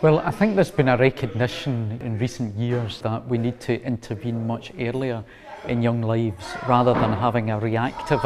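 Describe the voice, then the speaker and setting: Scottish man, forties, mid-pitched voice, earnest and measured interviewee. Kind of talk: considered interview talk. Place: large community hall, indoors. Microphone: lapel mic.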